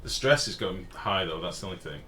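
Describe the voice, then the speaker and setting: A man with a deep, booming voice streams a game over faint background noise. He talks informally, swearing now and then, and moves between commentary on play and replies to his viewers. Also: expressive, brisk, bright, forceful tone. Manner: informal, sweary, reads and answers chat. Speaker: game livestreamer. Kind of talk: casual live gaming commentary, chatting with viewers.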